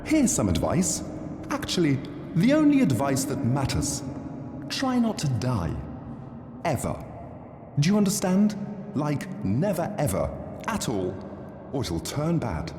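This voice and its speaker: Condescending theatrical master of ceremonies, wildly swooping pitch, slow drawl, mock courtesy, game dialogue in a cavernous hall. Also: male voice, huge pitch swings, darkish tone. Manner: theatrical, drawling, swooping delivery with dramatic pauses. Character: theatrical male stage director, mockingly polite, pitying, condescending. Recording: long echoing hall reverb, faint noise.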